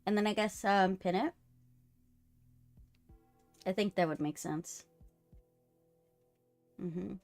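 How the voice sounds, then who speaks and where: A woman chats on a gaming live stream with faint music behind her. Her pitch sits in the middle for a woman. She talks casually and likes to tease in a playful way. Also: takes it slowly, pauses often. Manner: playful, teasing.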